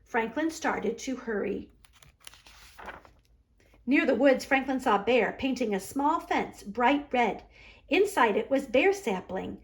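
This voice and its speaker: A woman's voice: on the high side, lively intonation, takes it slowly, pauses often. A woman library storyteller narrating a children's storybook aloud.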